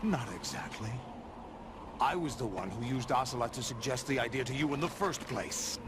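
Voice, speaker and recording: An actor playing a commanding middle-aged villain in a video game cutscene, calculating and menacing, with grand, deliberate delivery. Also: male voice, medium pitch, lively intonation, noisy background, natural voice. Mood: cold, confrontational. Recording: loud music behind the dialogue.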